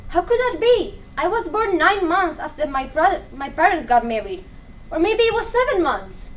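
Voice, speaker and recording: A woman's voice: very high-pitched, big pitch swings, normal speed. A young woman acting a bewildered, anguished daughter in a dramatic stage reading. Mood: shocked, questioning. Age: young adult. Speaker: student actor. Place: live stage reading in a room. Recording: faint background noise.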